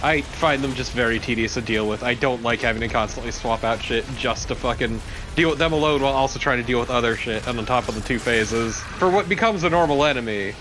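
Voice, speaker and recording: Male let's play sidekick, medium pitch, blunt and opinionated casual chat, slight noise in the recording. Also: fast talker. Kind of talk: casual gameplay commentary.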